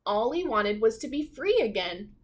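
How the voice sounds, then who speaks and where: A woman teacher reading a children's storybook aloud expressively, pausing to put simple questions to listeners. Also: fairly high pitch, sing-song.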